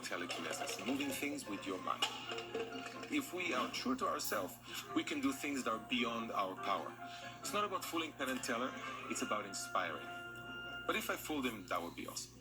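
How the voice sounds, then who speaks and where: A man's voice: mid-range voice, very animated, fairly quick, rough recording. A man from Israel, a magician, introducing himself in a TV profile segment. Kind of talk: interview-style promo clip. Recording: TV clip with loud music behind.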